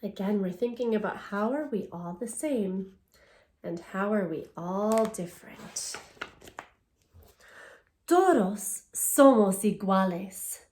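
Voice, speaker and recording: A woman teacher reading aloud to young children in a simple, deliberate, encouraging way, often posing questions to them. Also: medium pitch, lively intonation, darkish tone. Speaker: elementary school language teacher. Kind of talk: children's read-aloud lesson.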